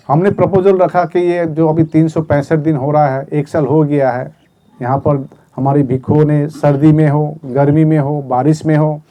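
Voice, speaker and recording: Earnest man a mellow voice. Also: fairly high pitch. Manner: earnest, measured, listing decisions one by one. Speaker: movement spokesman at a press conference. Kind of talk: press statement.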